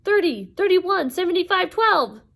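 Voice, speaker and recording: Ancient supernatural crone performed by a woman, high, screechy, quarrelsome, frantic; fantasy audiobook. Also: big pitch swings, moderate pace. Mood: desperate panic. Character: three squabbling ancient supernatural hag sisters driving wildly.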